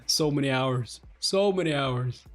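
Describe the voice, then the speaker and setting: A man's voice: mid-range voice, measured pace. A man giving a casual, exclamatory live reaction while reading along with a webtoon, speaking informally with some mild swearing. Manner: exclamatory, informal, mildly profane. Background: background music.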